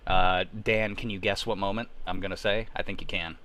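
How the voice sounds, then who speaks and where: A man's voice: low-pitched, flat delivery, a little fast, a bit bright, smooth. A man chatting casually on a livestream as host, enthusiastic and opinionated, with some casual swearing. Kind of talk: casual chat about superhero movies. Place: remote group livestream.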